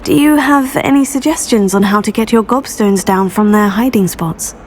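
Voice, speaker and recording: A woman playing a calm, helpful teenage witch heroine in a video game, measured and kindly, with a British accent. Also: mid-range voice, natural voice. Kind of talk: video game dialogue acting. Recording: faint background ambient noise.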